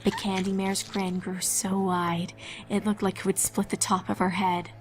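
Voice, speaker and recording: A woman's voice: mid-range voice, flat delivery, normal speed, noisy background. A woman giving a scripted narration of a fan fiction horror story.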